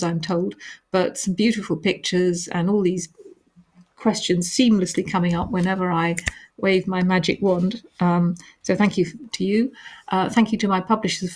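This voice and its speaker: A woman with a calming voice hosting a live question-and-answer stream, reading questions aloud and answering them with gentle hesitations. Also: on the low side. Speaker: author hosting a live reader Q&A.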